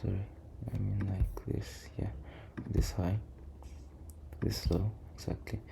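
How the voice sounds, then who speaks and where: Male trader for casual screen-share commentary: deep, flat delivery, low energy, hesitant, with clear background noise and keyboard sounds. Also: takes it slowly. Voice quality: low, creaky voice. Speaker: trader recording a backtesting session. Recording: noisy room, keyboard and typing sounds.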